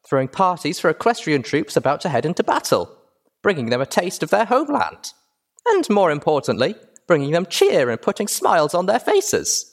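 Storybook role: excitable young female pony tour guide, performed by a man, very high-pitched, bubbly, gushing, hugely animated delivery. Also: moderate pace, vocal fry, full character voice. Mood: exuberant, showing off. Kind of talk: animated audiobook character voice.

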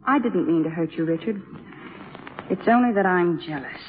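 An actress playing a bitter, brittle young wife in a 1950s radio drama. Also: female voice, lowish voice, expressive, moderate pace, a little hiss or hum, natural voice. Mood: brittle, airy. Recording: muffled vintage radio broadcast.

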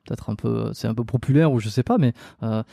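Mellow-voiced host with halting speech. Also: male voice, medium pitch, vocal fry, dry recording, studio quality. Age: 30s. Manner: halting, many restarts and fillers. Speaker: interview host. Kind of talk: casual interview chat.

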